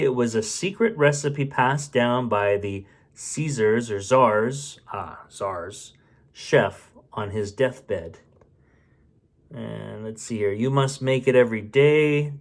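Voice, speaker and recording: A man reading a storybook aloud expressively, with casual muttered asides as he goes. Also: medium pitch. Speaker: bedtime story reader.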